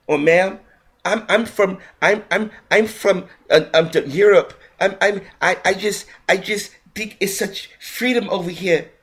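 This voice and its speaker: A man putting on a high, stammering voice to mock a flustered European woman at a parade. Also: a little slow, fairly bright tone. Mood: gushing.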